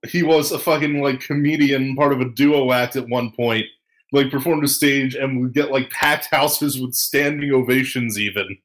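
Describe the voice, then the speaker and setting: A man's voice: mid-range voice, fairly even intonation, moderate pace, a little hiss or hum, rough recording. A man hosting a fan podcast in casual chat, enthusiastic and prone to swearing. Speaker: podcast host.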